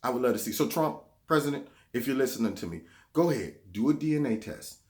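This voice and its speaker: A man's voice: medium pitch, lively intonation, fast. A man hosting his own political commentary vlog, talking sarcastically and repeating words when he gets heated. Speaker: vlog host.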